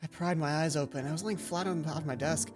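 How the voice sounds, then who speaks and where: A woman's voice: deep voice, fast talker. A woman reading a visual novel aloud as a let's play host, adding her own asides. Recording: background game music. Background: music from the game.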